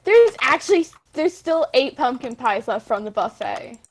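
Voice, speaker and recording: A woman's voice: high-pitched, sing-song, moderate pace. A young woman casually giving a tour of a game world, explaining things in a matter-of-fact way. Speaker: player showing others around a game world. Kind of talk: casual gaming chat, acted in a dramatic reading. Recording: noisy room with mouse clicks.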